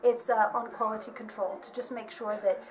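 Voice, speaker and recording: A fairly high-voiced woman explains her work earnestly in an interview, often restarting her sentences with ums. She sounds distant from the microphone in a roomy, echoey space. A faint hiss of background noise sits behind her voice. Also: steady tone, a little fast, a little mellow. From United States. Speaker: marketing advisor. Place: workshop premises.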